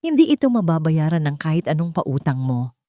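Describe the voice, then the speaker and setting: A woman's voice: sits low, sing-song, fairly quick, warm tone, no echo, clean studio sound. A woman voicing a bankrupt businesswoman who speaks in a shaky, sarcastic way, in a storybook drama. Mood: desperate.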